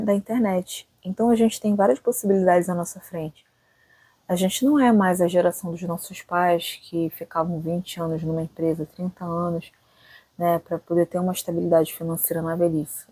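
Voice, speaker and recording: A woman in her late twenties from Brazil talks to her viewers in a relaxed, reflective vlog. Her voice is low and mellow, and it sounds dry and close. She speaks loosely and often tucks little check-in questions onto the ends of her sentences. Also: no room sound. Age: late 20s. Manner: chatty, thinking aloud, frequent "né" tags. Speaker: channel host. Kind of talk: casual reflective vlog talk.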